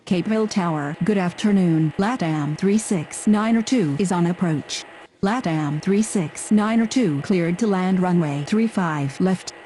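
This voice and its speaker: A woman's voice: on the low side, measured pace, dark tone, low-quality recording. A woman making procedural air traffic radio calls in a game.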